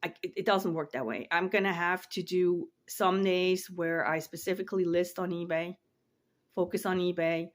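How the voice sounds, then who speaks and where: A woman's voice: lowish voice, fairly even intonation, moderate pace. A woman in her forties from Switzerland, chatting casually to camera in her home office, rambling and repeating words as she trails off. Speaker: online reseller and vlogger.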